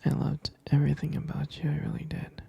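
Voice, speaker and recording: A man plays a doting young-love husband murmuring to his partner in an ASMR roleplay. His voice is very dark and mellow, heavily creaky and breathy, often dropping into a whisper. He speaks softly and tenderly, with slow, hesitant phrasing. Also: fairly high pitch, completely flat delivery, heavily acted. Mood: adoring, tender.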